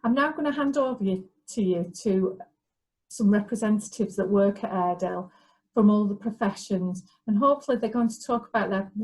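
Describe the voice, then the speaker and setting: Measured woman presenting career pathways. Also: medium pitch, darkish tone, rough recording. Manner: measured, with restarts and ums. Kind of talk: informational talk.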